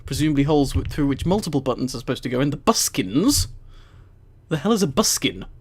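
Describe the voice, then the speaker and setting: A man's voice: medium pitch, very animated, fast talker, a little mellow, slightly rough. A man on a headset mic, reading aloud on a casual livestream with rambling, amused asides. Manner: amused, giggly, rambling commentary. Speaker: streamer reading a book aloud to live chat.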